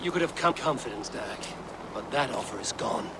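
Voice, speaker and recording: A man's voice: medium pitch, animated, moderate pace, heavy background noise, natural voice. A man playing a resolute Viking clan warrior reluctant to fight, grave and commanding, in a video game. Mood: stern restraint. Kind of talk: dramatic video game acting.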